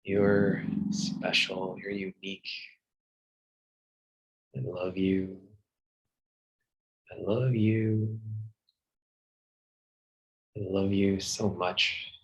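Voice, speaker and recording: Man, meditation teacher, very slow pace, even intonation, gentle and soothing, long pauses. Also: deep voice, poor audio. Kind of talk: guided meditation.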